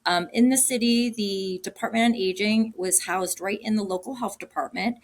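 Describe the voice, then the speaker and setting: A woman's voice: medium pitch, normal speed. Middle-aged woman guest from Cambodia, earnest, speaks off the cuff, lots of ums. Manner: warm, earnest, thinking aloud with many ums and restarts. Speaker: guest, agency director. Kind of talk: casual interview answers.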